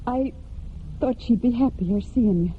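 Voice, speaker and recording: A woman's voice: a little high, unhurried, a little hiss or hum, natural voice. An actress playing an earnest, steadfast young farm woman in a 1940s radio drama. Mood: pleading. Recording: dull 1940s radio broadcast.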